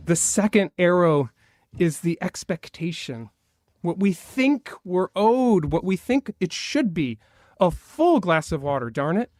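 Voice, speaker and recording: A man's voice: a little high, a little mellow, dry recording. Wry, dry-sounding male minister. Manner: conversational, wry humor. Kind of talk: sermon. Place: church sanctuary.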